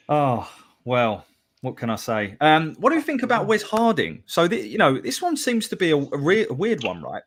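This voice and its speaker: A man hosting a live football podcast, reading out listener messages with a laugh. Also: mid-range voice. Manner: upbeat, reads out chat messages with laughs. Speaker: podcast host.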